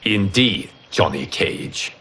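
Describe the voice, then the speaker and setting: An actor playing a calm, solemn fire god and protector in a video game, speaking formally and gravely. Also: male voice, deep voice, slow, a little hiss or hum, exaggerated voice. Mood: calm, assured. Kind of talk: video game cutscene acting.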